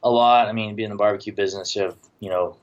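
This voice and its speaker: A middle-aged man from the American South with a deep voice, talking casually as a podcast guest. He has a dry, joking way about him. The recording is a little dull and lo-fi. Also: fairly even intonation, racing. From Southern United States, born in Tennessee. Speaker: podcast guest, barbecue business owner. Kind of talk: casual interview chat.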